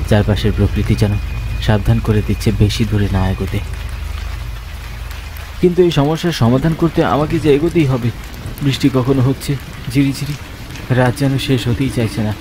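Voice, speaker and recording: A man's voice: mid-range voice, noisy background. Man narrating a horror cartoon. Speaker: narrator and voice actor. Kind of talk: dramatic horror storytelling.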